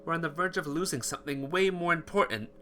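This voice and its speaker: A man's voice: a little high, fast, pushed and bright, slight background noise. A man reading visual novel text aloud as dramatic, earnest, emotive story narration for a playthrough.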